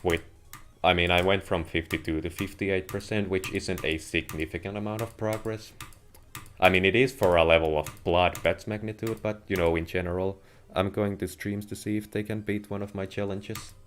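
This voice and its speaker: A man with a low voice and a Finnish accent talks casually through a gaming livestream. His phrasing is measured and a little formal as he addresses viewers. Keyboard clicks and slight noise sit behind his voice. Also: steady tone. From Finland. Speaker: live streamer playing a video game. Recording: noisy home stream with keyboard clicks. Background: keyboard and mouse clicking.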